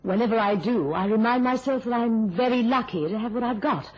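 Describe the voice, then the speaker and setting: An actress playing a prim, controlled middle-aged spinster in an old radio drama, solicitous and husky. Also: female voice, fairly high pitch, brisk, darkish tone, natural voice. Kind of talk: mid-century radio drama acting. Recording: muffled old-time radio recording.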